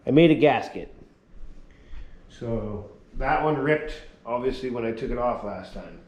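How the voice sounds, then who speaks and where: A man casually narrating his repair work in a workshop, half muttering to himself as he works, a little hoarse. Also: medium pitch, a little slow, reverberant. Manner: relaxed, mutters quietly while working. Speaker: vlogger working on a small motor vehicle.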